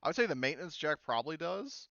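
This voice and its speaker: Young man, game master, smooth clear voice, dull lo-fi mic, dry sound. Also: on the high side, very animated, quick pace, fairly bright tone, dry recording. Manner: casual, rules-checking, many fillers. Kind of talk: running a tabletop game with players.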